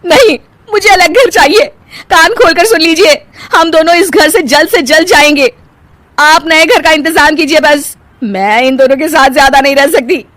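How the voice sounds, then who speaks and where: A woman's voice: high-pitched, expressive, normal speed, dry recording, voice pushed up. A woman voicing a spiteful, demanding young daughter-in-law in a cartoon family drama, bossy and whining. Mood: demanding, bitter.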